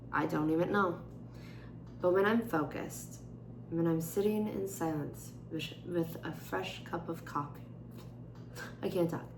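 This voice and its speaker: Young woman vlogger, raspy and worn, gravelly low voice, chatty with lots of fillers, echoey home room. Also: background noise. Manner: chatty with frequent fillers, gentle and candid. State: tired, voice strained. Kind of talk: casual chat and journal reading. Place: indoors at home, at a table. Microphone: camera on tripod.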